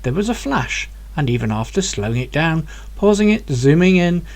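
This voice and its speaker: Brief: author-narrator, man, lively pitch, somewhat high voice, expressive reading. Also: normal speed. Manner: clear, expressive storytelling. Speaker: author reading his own book. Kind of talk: audiobook narration.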